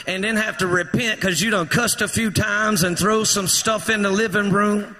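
A man preaching a fervent sermon in a church, his voice strained from shouting and rousing the congregation to respond. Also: high-pitched, fairly even intonation, normal speed, a little hiss or hum. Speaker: pastor. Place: church sanctuary. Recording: echoey church hall reverb.

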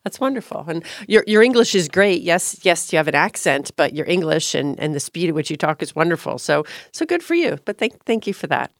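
A woman's voice: fairly low pitch, very animated, fairly quick, few pauses, dry recording, clean studio sound. A woman hosting an interview, upbeat and full of praise for her guest. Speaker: interview host.

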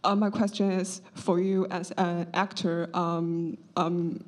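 Hesitant, low-voiced woman in the audience. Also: flat delivery, takes it slowly. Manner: hesitant, searching for words, many fillers. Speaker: audience member asking a question. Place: audience seating in a hall.

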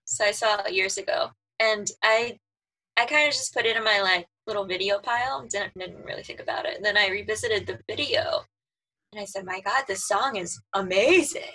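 A woman's voice: fairly quick, sharp tone, low-quality recording. A woman who sings soprano, chatting casually as a guest, laughing often and trailing off mid-sentence. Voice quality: high soprano voice. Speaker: guest, pop singer. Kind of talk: casual chat.

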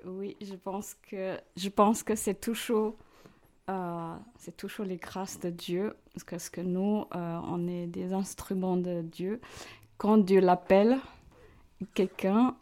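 A middle-aged woman tells her story slowly and unhurriedly. Her voice is mellow and stays level in pitch, and she pauses often to find her words. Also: fairly even intonation. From Hong Kong, China. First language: Chinese. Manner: hesitant, searching for words with many fillers. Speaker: parish council president. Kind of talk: radio interview, personal testimony.

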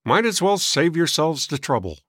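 A man voicing an irritable, suspicious old gentleman in an audiobook, testy and scoffing. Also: fairly high pitch, very animated, normal speed, no room sound, clean studio sound, voice pushed up. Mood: dismissive. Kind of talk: audiobook character voice.

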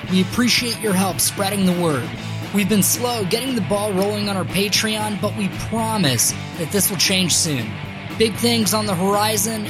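A woman hosts a podcast, delivering announcements to listeners in a medium-pitched voice. Plucked guitar music plays clearly underneath. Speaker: podcast co-writer, producer and host. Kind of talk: podcast intro and outro announcements.

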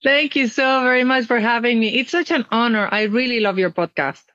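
A woman's voice: a little high, fairly quick, a bit bright, no echo, lo-fi sound. A middle-aged woman from Mexico, telling her story earnestly and expressively as an interview guest. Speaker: guest, nonprofit founder. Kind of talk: conversational interview.

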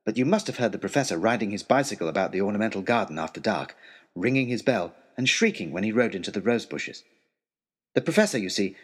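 A man's voice: lowish voice, husky. An actor playing a put-upon, wry male tutor, reading his pompous, wordy, aggrieved letter aloud in a comic audio story. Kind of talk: scripted comic narration as a letter read aloud.

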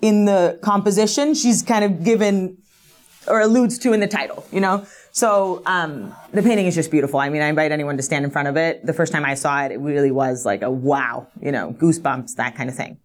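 A woman speaks excitedly about an artwork, leaning on "you know" and "like" as she talks. Her voice is on the lower side for a woman. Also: brisk. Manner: enthusiastic, filler-heavy, lots of "you know" and "like". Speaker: auction house specialist. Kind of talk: interview describing artworks.

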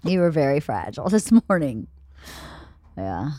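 Podcast co-host: woman, late forties, lower register, candid and reflective, close dry studio sound. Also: big pitch swings, normal speed, warm tone, dry recording. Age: late 40s. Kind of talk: casual intimate conversation.

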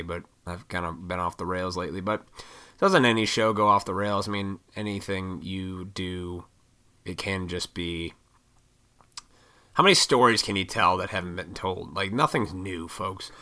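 A man in his thirties rants off the cuff, swearing and wandering between points. His voice sits low but comes out hard and pushed, with a froggy throat he keeps clearing. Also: bright, forceful tone. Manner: profane, opinionated, rambling. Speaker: vlogger. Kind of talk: rambling casual rant to camera.

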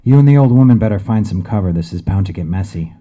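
A man's voice: low voice, expressive, quick pace, warm tone. A man reading the lines of a wounded, defiant woman gunfighter in a blunt, cursing way.

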